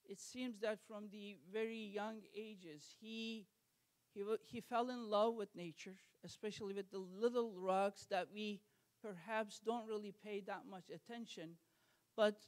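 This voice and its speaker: A man from Turkey with a Turkish accent, hosting an art exhibit reception and giving an earnest, halting welcome speech at a school gallery. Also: high-pitched. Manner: earnest, halting, many fillers and restarts.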